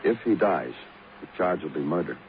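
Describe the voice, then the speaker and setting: An actor playing a hardened New York homicide detective with an American accent, terse in questioning and poetic in narration, in 1950s radio crime drama style. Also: male voice, sits low, animated, moderate pace, a little hiss or hum. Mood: terse, pressing. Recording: muffled old radio recording, music behind.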